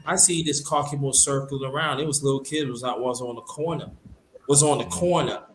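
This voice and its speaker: A man's voice: medium pitch, steady tone, a little fast, slight background noise. Earnest Brooklyn man, roomy sound. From Brooklyn, New York. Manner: earnest, repeats himself, stumbles over words. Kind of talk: casual chat.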